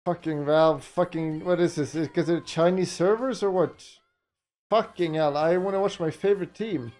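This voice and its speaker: A man's voice: fairly high pitch, moderate pace, darkish tone. A man chatting casually on a livestream as he reacts to a broadcast, blunt and exasperated, swearing often. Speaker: live streamer reacting to a tournament broadcast. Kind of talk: casual livestream chat and commentary.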